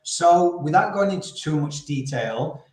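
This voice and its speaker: A man's voice: on the high side, measured pace, echoey room. Upbeat Yorkshire man hosting live. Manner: upbeat, energetic presenter. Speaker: host. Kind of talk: live online interview.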